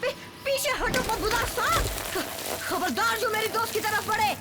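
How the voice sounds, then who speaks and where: A woman voicing a clever, self-assured jungle boy in a dubbed cartoon, talking in a bossy, animated way. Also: extremely high pitch, moderate pace. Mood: urgent. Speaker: dub voice actor. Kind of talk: cartoon dubbing. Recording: music playing behind.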